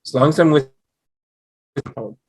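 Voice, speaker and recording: A man playing an eager, devoted young husband in a sitcom table read, earnest and excitable. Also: fairly high pitch, unhurried, slightly rough. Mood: tender, sheepish.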